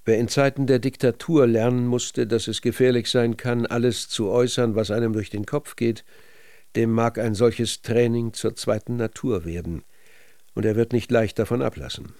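A man reads scripted literary documentary narration. His delivery is sober and measured, at a medium male pitch, recorded very dry and close without room sound. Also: steady tone, darkish tone, no room sound.

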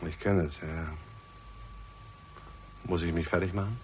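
An actor playing a withdrawn, self-pitying man in trouble, speaking quietly and tersely in a 1960s German radio crime drama. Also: low voice, steady tone, normal speed, natural voice. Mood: shaken, weary. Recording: noisy, ambient 1960s radio drama recording.

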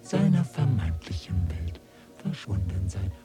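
An actor playing a lofty, philosophical alien authority in a German radio drama, lecturing in a condescending way. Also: male voice, sits low, very animated, a little slow, over music. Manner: didactic, condescending.

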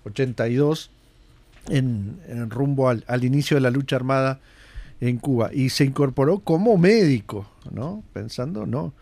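A man's voice: mid-range voice, lively intonation, vocal fry, darkish tone, no room sound. A man hosting a radio show, giving a conversational commentary with frequent fillers and restarts. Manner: conversational, halting, many fillers. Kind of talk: casual spoken commentary.

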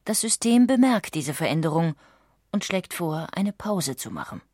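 Female narrator, standard German, lowish pitch, dry sound, measured factual documentary read. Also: big pitch swings, darkish tone, dry recording. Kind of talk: scripted documentary audiobook narration.

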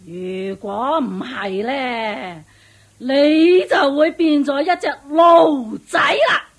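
A woman's voice: high voice, exaggerated voice. A woman playing a shrewish, domineering and vengeful witch in a radio drama, nagging and taunting in Hong Kong Cantonese. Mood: taunting, threatening. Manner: nagging, taunting, drawn-out emphatic delivery. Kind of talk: theatrical radio drama acting.

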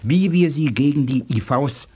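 An actor playing a calm, dignified alien scientist in a 1980s radio drama, speaking in a measured, formal, courteous way. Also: male voice, medium pitch, slight background noise, close to an everyday voice. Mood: sober, doubtful.

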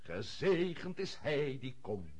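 An actor playing a pompous, self-important aristocratic prince in a radio play, husky and given to declamation. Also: male voice, medium pitch, very animated, slow pace, a little mellow. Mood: solemn, declamatory. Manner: declamatory, blustering.

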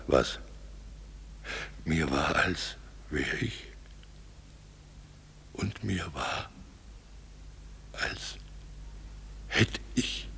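A man acting a foolish, boastful craftsman in a German radio play, halting and wonderstruck, with a gravelly, husky voice. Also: a bass voice, fairly bright tone, slight background noise, exaggerated voice. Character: foolish boastful craftsman waking bewildered from a dream.

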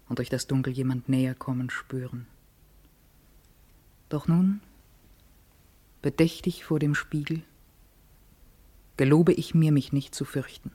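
A woman's voice: a bass voice, mellow, husky, exaggerated voice. An actress playing an aging, lonely yet defiant and sensual society woman, speaking an introspective, poetic radio play monologue. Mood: wistful, resolute.

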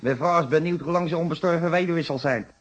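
An actor playing a sarcastic, defiant grocer in a Dutch radio drama, blunt and needling, with a Netherlands accent. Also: male voice, on the high side, quick pace, husky. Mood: sarcastic, challenging. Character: cheeky, sarcastic, defiant male grocer under suspicion.

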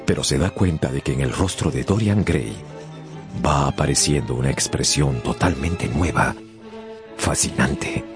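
A man narrating a radio drama as a scripted, dramatic story, with a gravelly voice. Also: very deep voice, unhurried. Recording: music under the narration. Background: music.

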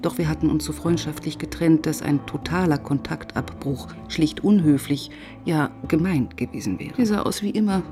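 Low-voiced, reflective woman police inspector, played by an actress. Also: normal speed, warm tone, soft background music, close to an everyday voice. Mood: reflective narration. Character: reflective, twice-divorced female police inspector, dry and probing. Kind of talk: German radio crime drama with first-person narration.

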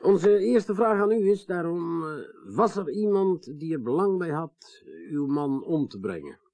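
A courteous police detective with a mellow, fairly high male voice. He works methodically in a naturalistic radio drama style. His questioning is polite and careful, with small hesitations. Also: animated, a little slow. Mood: polite, probing.

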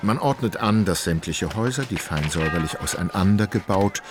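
An actor as a measured, ironic literary narrator of a radio drama, wry in manner. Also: male voice, low voice, expressive, over music. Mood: measured, detached. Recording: clear ambient noise behind voice.

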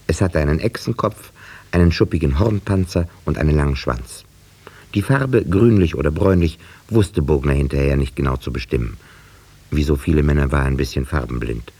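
An actor playing a village schoolteacher who narrates a 1950s German radio drama in a measured, precise, dryly ironic way. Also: male voice, rumbling low, mellow, slightly rough, full character voice. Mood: dryly amused. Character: village schoolteacher narrator, a thoughtful, dry-witted man.

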